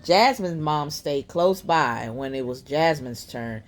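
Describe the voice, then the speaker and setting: A woman reading a children's picture book aloud as a bedtime story, in a deliberate storytelling manner. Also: sits low, lively intonation, a little hiss or hum.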